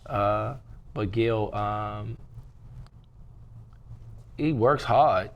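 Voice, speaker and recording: A man's voice: lowish voice, drawn-out words, vocal fry, dull audio. A man talking casually as an interview guest, repeating phrases for emphasis. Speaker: interview guest, stage play producer and entrepreneur.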